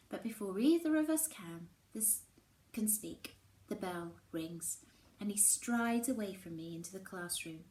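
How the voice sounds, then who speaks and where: A woman teacher reading a children's novel aloud in an expressive storytelling style. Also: mid-range voice. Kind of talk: expressive storybook read-aloud with acted dialogue. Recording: roomy echo with faint background noise.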